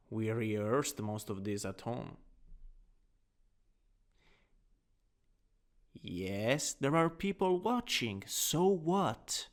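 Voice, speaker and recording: A man with an Italian accent playing a gently dominant, doting anatomy teacher in a scripted ASMR roleplay, coaxing and instructing. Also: mid-range voice. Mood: coaxing, tender. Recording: long reverberant hall echo.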